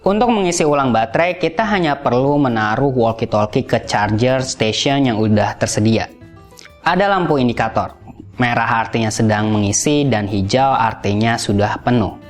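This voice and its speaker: A man's voice: medium pitch, lively intonation, moderate pace. A man hosting a gadget review, narrating in a casual, explanatory style. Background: background music.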